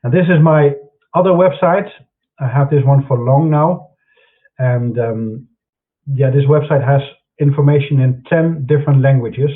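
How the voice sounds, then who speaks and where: A man with a Dutch accent talks through his websites and books for an online audience. He speaks at a middling pitch and hesitates often, restarting his sentences. The sound is narrow and phone-like. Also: a little slow. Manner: hesitant, many fillers and restarts. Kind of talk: casual talk during an online broadcast.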